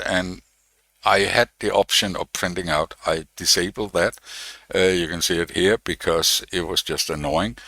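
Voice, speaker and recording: A man giving an unscripted technical walkthrough as a tutorial presenter, thinking aloud in a halting way. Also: sits low, creaky, pushed and bright, no echo.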